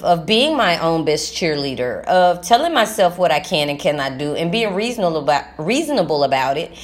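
A woman hosting a podcast, speaking in a casual, reflective motivational monologue and repeating phrases for emphasis. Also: fairly low pitch. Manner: conversational, emphatic repetition for stress. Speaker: podcast host.

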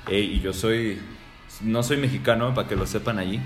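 A man's voice: fairly low pitch, moderate pace. A young man from Venezuela co-hosting a casual chat about favorite albums, enthusiastic and slangy. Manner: enthusiastic, slangy, interjects often. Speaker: podcast co-host. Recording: echoey room with faint music.

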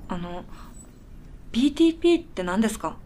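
A woman's voice: medium pitch, very animated, a little fast, mellow, slight background noise, close to an everyday voice. An actress playing a polite, puzzled and tired woman with a dry, blunt streak in a radio drama. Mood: puzzled, timid. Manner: polite, dry, matter-of-fact. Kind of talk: Japanese radio drama dialogue.

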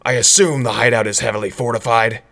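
A man's voice: mid-range voice, steady tone, fast talker, vocal fry, a bit bright, slight background noise. A man playing an aggrieved, awkward stone superhero, grumbling yet earnest, in a comedic audio drama. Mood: sceptical.

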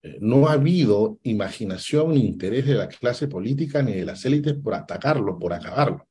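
A man, a political analyst answering interview questions, deliberate and sometimes stopping to search for a word. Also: mid-range voice, expressive, warm tone, slightly rough. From Peru. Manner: deliberate, stops to search for words.

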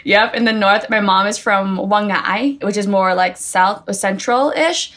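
A woman's voice: mid-range voice, a little slow, a bit bright. Earnest woman in her late thirties. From Boston, United States. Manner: earnest, reflective personal storytelling. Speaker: guest. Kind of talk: casual interview conversation.

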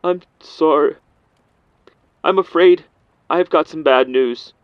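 A man's voice: on the high side, takes it slowly, pitched above the performer's own voice. A man voicing a sad, formal, apologetic stranger bearing bad news in a horror audiobook, with slightly muffled sound. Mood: sorrowful. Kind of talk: audiobook character voice.

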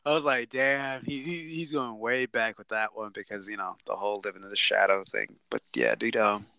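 A man chatting casually as a podcast co-host, animated, swearing and laughing as he acts out what others said. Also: fairly low pitch, brisk, gravelly, clear, resonant tone, no echo. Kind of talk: casual chat.

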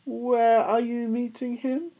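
Lady doing a posh-mannered flustered owl for kids, high voice, long drawn out words. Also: level tone, slow, dark tone, muffled recording. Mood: alarmed, polite. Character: genteel, hooting male storybook owl, easily alarmed.

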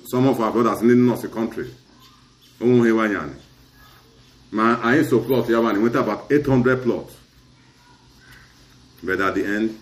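A man's voice: on the low side, reverberant. A middle-aged man with an Asaba Igbo accent, recounting a community dispute as a chief in an interview and switching between Igbo and English. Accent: Nigerian, Asaba Igbo. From Nigeria. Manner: mixes Igbo and English in long recounting turns.

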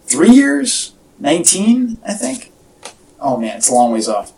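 A man's voice: sits high, wildly swooping pitch, measured pace, gravelly, warm tone, distant mic. A man co-hosting a casual fan podcast, talking in an enthusiastic, rambling way. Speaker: podcast co-host. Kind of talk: casual fan chat about superhero movies. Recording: echoey, off-mic home podcast audio.

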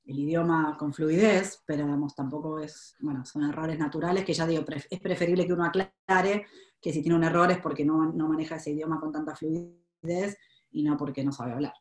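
A woman's voice: low-pitched, fairly even intonation, a little fast. Hesitant Rioplatense woman, echoey room. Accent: Rioplatense Spanish. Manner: thoughtful, many hesitations and restarts. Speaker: career advice guest. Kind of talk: live Q&A webinar talk.

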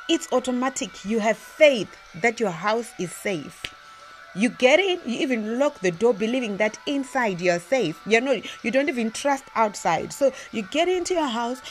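A woman preaching a sermon, emphatic and repetitive, often restarting her sentences. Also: a little high.

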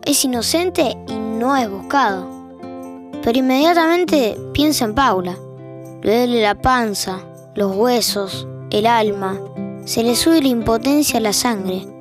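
Woman narrating over guitar music. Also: fairly high pitch. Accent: Rioplatense Spanish. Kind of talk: scripted drama narration.

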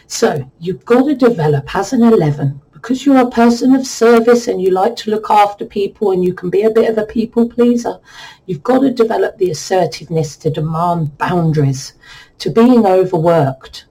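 A woman giving a chatty, repetitive numerology reading, her voice croaky and a bit worn from the change of season. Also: medium pitch, mellow, low-quality recording. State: voice croaky from a cold spell. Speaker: numerology reader. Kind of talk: explanatory numerology reading monologue.

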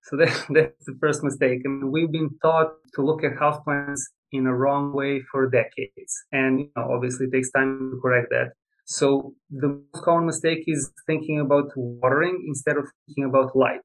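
A man's voice: medium pitch, little pitch movement, normal speed, poor audio. A young adult man from Serbia, a guest on a podcast, explaining houseplant care in a friendly, explanatory way with light laughs. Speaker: plant expert guest. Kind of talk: casual interview talk.

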